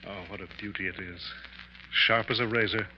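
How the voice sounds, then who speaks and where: An actor playing a patronizing professor with a morbid fascination for death in a 1950s radio melodrama. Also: male voice, fairly low pitch, fairly even intonation, lightly acted. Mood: fussy, admiring. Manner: pompous, patronizing, deliberate. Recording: dull, hissy old radio recording.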